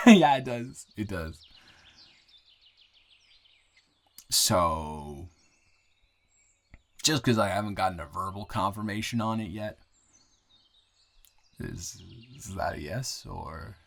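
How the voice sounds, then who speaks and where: A man acting a deep-voiced, bashful smitten boyfriend. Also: lively intonation, creaky, exaggerated voice. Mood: hopeful, playful. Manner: halting, flustered, playful teasing with chuckles. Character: nervous, smitten new guy in town confessing love. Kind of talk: improvised romantic audio roleplay.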